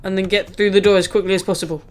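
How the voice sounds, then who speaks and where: A woman's voice: medium pitch, flat delivery, very fast, slight background noise. A woman playing a horror game with a friend, nervous and jittery, crying out in panic. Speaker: co-player. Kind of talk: casual gaming commentary.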